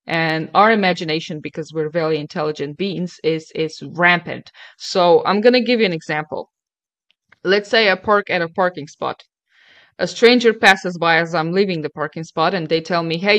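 A young woman explaining her thoughts step by step in a conversational discussion, speaking into a close desk microphone. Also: fairly low pitch. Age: young adult. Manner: explanatory, step-by-step, checking in with okay and right. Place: small indoor room, video call. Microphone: close desk microphone on a boom arm, headset.

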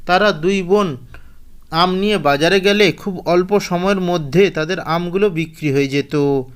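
Male narrator for a children's cartoon fable, notably high voice, scripted storybook read. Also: moderate pace, clean tone.

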